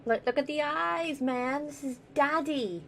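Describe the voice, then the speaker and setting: A woman's voice: sits high, a little slow, vocal fry, slight background noise. A woman giving casual let's play commentary as a gaming streamer, chatty, irreverent and sweary.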